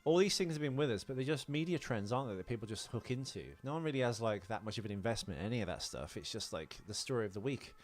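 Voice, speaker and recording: A man's voice: mid-range voice, animated, fast, few pauses. A man co-hosting a film podcast in casual chat, with an irreverent, crude style of banter. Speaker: podcast co-host.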